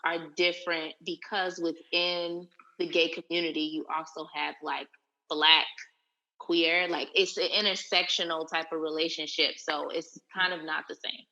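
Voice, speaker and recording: A woman's voice: low-pitched, level tone, normal speed, pushed and bright, muffled recording. A woman in a casual discussion, explaining her point carefully and patiently.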